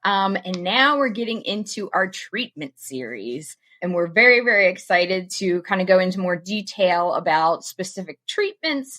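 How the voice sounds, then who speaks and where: Bubbly nasal woman podcast host. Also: medium pitch. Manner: bubbly, laughs often, lively intonation. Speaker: podcast co-host, social worker. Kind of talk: casual podcast chat.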